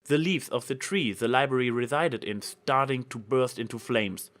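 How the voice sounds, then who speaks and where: A man's voice: mid-range voice, normal speed, a bit bright. A man reading fan fiction aloud as a narrator, in a dramatic storytelling style.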